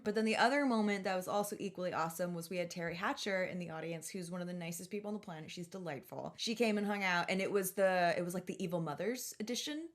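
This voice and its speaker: A woman hosting a casual, comedic podcast chat in an animated, informal, gushing way with some casual swearing. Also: medium pitch. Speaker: podcast host.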